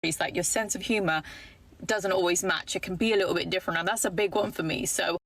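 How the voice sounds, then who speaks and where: A woman's voice: fairly low pitch, fast talker, gravelly, a bit bright, no room sound. A woman in her thirties, telling a dating story in a chatty, talkative way, full of "like". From Britain. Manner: chatty, talkative, filler-heavy with frequent "like". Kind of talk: casual storytelling to camera.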